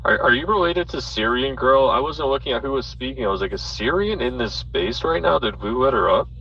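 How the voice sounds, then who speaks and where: A man's voice: medium pitch, lively intonation, a little fast, bright, forceful tone, recorded from a distance. A man hosting a live audio chat, rambling and swearing as he thinks aloud, with plenty of fillers. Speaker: host. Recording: dull audio from off mic with faint noise.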